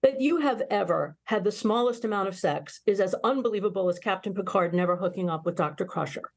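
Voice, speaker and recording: A woman's voice: medium pitch, animated, brisk, darkish tone. A woman playing a brash, sardonic young starship officer in an amateur sci-fi comedy table read. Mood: sarcastic. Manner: sardonic, blunt.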